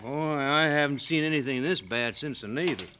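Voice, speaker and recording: An actor playing a wry, folksy convict in a 1950s radio drama, with colloquial speech. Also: male voice, medium pitch, normal speed, natural voice. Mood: casual, wry. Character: scornful, folksy escaped convict, right-hand man. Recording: dull, noisy vintage radio recording.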